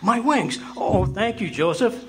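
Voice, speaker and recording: An actor playing a timid, eager apprentice angel in a live radio play. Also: male voice, high voice, expressive, a little slow, a little hiss or hum. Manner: anxious, eager. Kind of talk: live radio drama acting.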